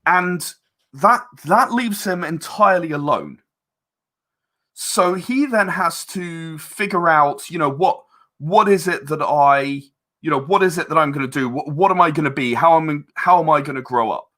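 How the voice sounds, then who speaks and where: Bright-voiced man explaining thoughtfully. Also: a little high. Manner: halting, thoughtful, repeats words as he explains. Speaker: interview guest, audio drama director and voice actor. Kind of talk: casual explanatory interview talk.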